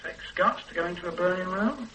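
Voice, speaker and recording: An earnest young constable. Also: male voice, sits high, brisk, sharp tone, distant mic, slight background noise, low-quality recording. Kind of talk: radio drama acting.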